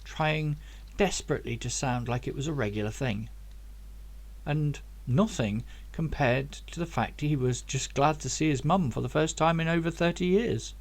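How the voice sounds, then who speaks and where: A man's voice: a little high, normal speed. A man reading his own novel aloud as scripted audiobook narration.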